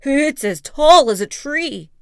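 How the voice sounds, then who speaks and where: A woman's voice: high voice, expressive, slow, fairly bright tone, no room sound, studio quality, voice pushed up, full character voice. A woman voicing a bouncy, boastful male tiger who whoops and talks boisterously in a storybook reading. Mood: alarmed. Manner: whooping, boisterous, drawled words.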